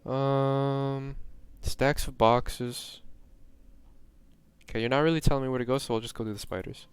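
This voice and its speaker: A man's voice: medium pitch, normal speed, clean tone, no room sound. A young man from New York giving casual, rambling commentary while streaming a game, self-mocking and drifting off-topic. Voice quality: monotone.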